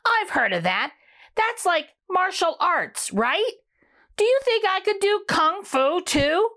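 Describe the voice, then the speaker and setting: A woman's voice: very high-pitched, sing-song, slow pace, fairly bright tone, pitched above the performer's own voice, exaggerated voice. A woman voicing an eager, easily upset young rutabaga boy in a storybook, exclaiming with wide-eyed emphasis.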